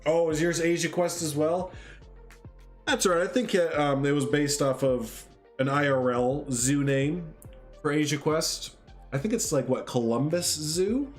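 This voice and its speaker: A man's voice: on the high side, measured pace, faint music behind. A man hosting a casual livestream, stuffed up from a sinus cold, chatting in a friendly, rambling way and reading out chat. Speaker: livestream host.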